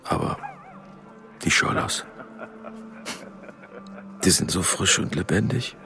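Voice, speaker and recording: A gravelly, low-voiced middle-aged German father in a radio drama. He is a reserved engineer who broods and hesitates as he talks, with faint music behind him. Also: takes it slowly, pushed and bright, heavily acted. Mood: brooding. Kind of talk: radio drama acting with inner monologue.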